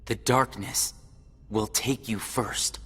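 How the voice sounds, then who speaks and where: Brooding, slow-spoken young rival. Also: male voice, fairly low pitch, steady tone, husky, full character voice. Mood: menacing. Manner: grave, deliberate, brooding delivery. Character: brooding, bitter young hooded male rival, world-weary. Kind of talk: English video game cutscene acting. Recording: loud music behind the voice.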